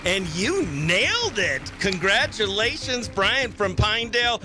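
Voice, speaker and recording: A man's voice: sits high, very animated, a little slow, noisy background. An exuberant American man hosting a morning radio show with playful, chatty banter and effusive greetings. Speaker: morning radio host and comedian.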